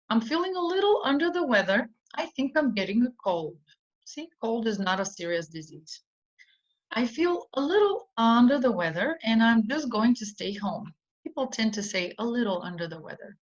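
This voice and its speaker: A woman teaching an English idiom in an online lesson, speaking patiently with simple explanations and frequent ums. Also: on the high side. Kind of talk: slow online vocabulary lesson. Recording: dull lo-fi recording.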